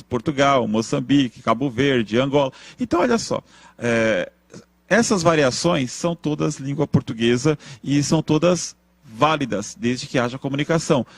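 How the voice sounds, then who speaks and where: A man's voice: on the high side, dry recording. A man giving an emphatic introduction to a lesson, asking rhetorical questions to draw the viewer in.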